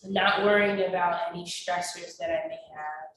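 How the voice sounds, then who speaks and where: A woman instructor leading a chair stretching class with slow, encouraging counts, a little stuffy from a cold. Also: lowish voice, fairly even intonation. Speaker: fitness instructor. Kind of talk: guided exercise class with counting. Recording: very echoey, distant, faint noise.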